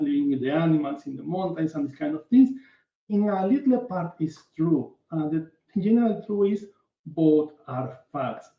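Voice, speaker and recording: A man from Venezuela with a Venezuelan Spanish accent, a native Spanish speaker, giving an earnest online workshop lecture over a video call, halting with frequent fillers. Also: a little high. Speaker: workshop presenter. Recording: echoey room, dull lo-fi call audio.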